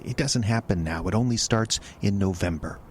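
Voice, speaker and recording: A man's voice: fairly low pitch, moderate pace, slight background noise, lightly acted. An actor playing a taciturn, ominous local man in an audio drama. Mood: terse, cryptic.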